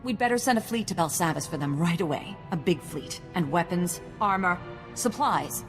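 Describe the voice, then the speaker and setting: An actress playing a brisk woman official in a game cutscene, giving orders decisively. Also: on the low side, animated, music in the background, natural voice. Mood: commanding. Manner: decisive, commanding. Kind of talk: video game cutscene acting.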